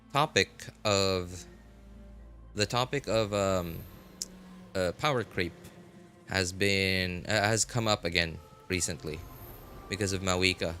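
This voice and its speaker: A man hosting a game livestream, chatting casually in a halting, rambling way and often repeating and rephrasing himself. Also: low voice, bright, forceful tone. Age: adult, college graduate. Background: music.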